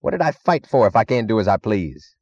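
A man's voice: lowish voice, very animated, quick pace, no echo, dull audio. A man voicing a drunken, swaggering thug boss in an audiobook, belligerent and sneering with slangy bluster. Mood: snarling, bullying. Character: drunken swaggering thug boss, loud, defiant, secretly scared. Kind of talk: audiobook character acting.

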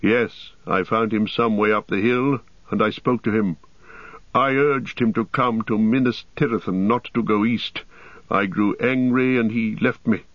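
A man voicing a grim, troubled male warrior who answers slowly and evasively, for a storybook. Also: on the low side, steady tone, no room sound, dull audio. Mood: guarded. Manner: slow, evasive, sombre.

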